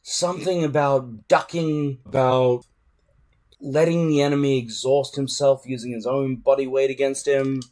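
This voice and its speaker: A man narrating a children's adventure book aloud in an expressive, dramatic way. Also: mid-range voice, a little slow. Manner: dramatic, animated. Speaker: storybook reader.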